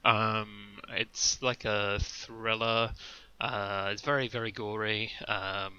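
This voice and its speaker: Male panelist, bright forceful tone, blunt and animated. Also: fairly low pitch, takes it slowly, clean tone, highs cut off. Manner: blunt, animated opinions with laughter. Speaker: genre writer, podcast panelist. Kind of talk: casual podcast chat.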